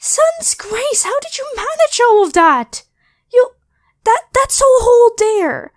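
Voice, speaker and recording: A woman's voice: very high voice, animated, slow pace, full character voice. A woman voicing a grateful, flustered young woman who rambles and stammers apologetically in an ASMR roleplay spoken to the listener. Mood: astonished.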